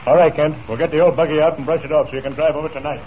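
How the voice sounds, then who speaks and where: An actor playing a commanding, forthright cowboy ranch leader in a dramatic 1940s radio serial. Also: male voice, on the high side, steady tone, racing, close to an everyday voice. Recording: tinny, noisy 1940s radio broadcast.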